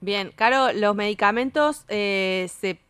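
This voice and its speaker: A woman's voice: mid-range voice, slow pace, fairly bright tone, clean tone, no echo. A woman with an Argentine accent co-hosting a live radio interview. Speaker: radio co-host.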